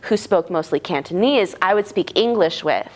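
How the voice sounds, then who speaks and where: A woman's voice: fairly low pitch, expressive, normal speed. A young woman in her twenties, an actress from Arizona, talking casually in an interview with frequent fillers, restarts and laughs. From Tucson, Arizona, US. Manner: hesitant, many fillers and restarts, laughs often. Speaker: actress guest.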